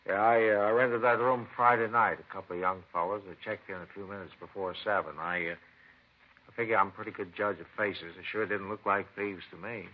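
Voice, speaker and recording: An actor playing a talkative, indignant hotel manager in a 1950s radio drama, emphatic and colloquial, with halting starts. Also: male voice, lowish voice, steady tone, close to an everyday voice. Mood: earnest, helpful. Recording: muffled vintage radio recording.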